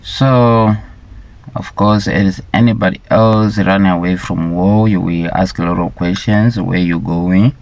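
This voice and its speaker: A man from Rwanda speaks slowly in a very low voice, telling his personal story with halting, deliberate phrasing and repeated words. The recording sounds dull and lo-fi. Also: fairly even intonation, gravelly, darkish tone. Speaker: vlogger sharing his life story.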